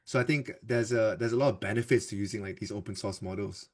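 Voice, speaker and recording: A man's voice: on the low side, quick pace. A man from Singapore, a developer advocate, giving a loose, conversational tech presentation in a webinar, full of fillers and restarts.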